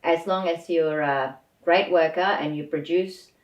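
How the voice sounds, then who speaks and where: A woman guest speaking English as a second language, answering thoughtfully with hesitant pauses and fillers. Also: low-pitched, fairly even intonation. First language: not English. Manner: thoughtful, hesitant, laughs softly. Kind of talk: reflective interview answers. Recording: echoey room, distant dull mic.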